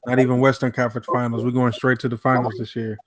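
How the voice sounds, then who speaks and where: A man hosting a casual boxing podcast, laid-back and tipsy, talking in a slow, mellow way. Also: mid-range voice, little pitch movement, crowd noise. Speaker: podcast host. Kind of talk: casual chat.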